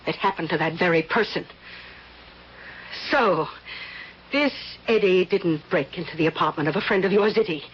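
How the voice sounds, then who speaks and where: A woman's voice: fairly low pitch, moderate pace, long pauses, natural voice. An actress playing a domineering, prying, spiteful older rich woman in a radio drama, with an imperious, needling manner. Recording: muffled, hissy old radio drama recording.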